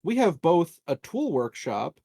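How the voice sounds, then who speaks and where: Lively man playing a tabletop game. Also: on the high side, a little slow, vocal fry, slightly rough, no room sound, studio quality. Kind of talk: casual game chat.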